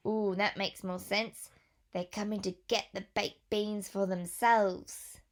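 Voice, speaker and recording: A woman teacher reading a picture book aloud to young children, pausing for questions and gentle whispered asides. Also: medium pitch.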